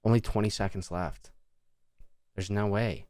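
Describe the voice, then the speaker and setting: A man's voice: lowish voice, steady tone, measured pace, a little mellow, dry recording, studio quality. A man hosting a livestream with casual, profane reaction commentary, thinking aloud informally. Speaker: livestream host.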